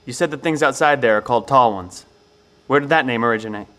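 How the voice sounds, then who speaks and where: Man as inquisitive professor, medium-pitched voice. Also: quick pace, fairly bright tone, close to an everyday voice. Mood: curious, probing. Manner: probing, precise questioning. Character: earnest, inquisitive male professor leading a band of survivors. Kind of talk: audio drama acting.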